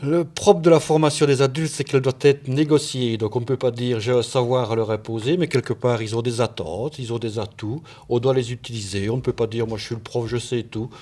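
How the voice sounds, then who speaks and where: Calm, reflective man, mid pitch, off-mic. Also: brisk, hardly any pauses. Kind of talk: interview explanation.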